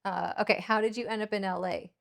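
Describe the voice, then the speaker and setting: American woman in relaxed casual chat. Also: mid-range voice, fast, creaky. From Minnesota, United States. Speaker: cooking channel host. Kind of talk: casual chat answering viewer questions.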